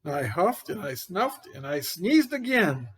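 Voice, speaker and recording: A man reading a children's picture book as an aggrieved, folksy wolf, expressive and incredulous. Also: high-pitched, unhurried, slightly rough, full character voice. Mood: indignant. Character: aggrieved, folksy wolf pleading his innocence.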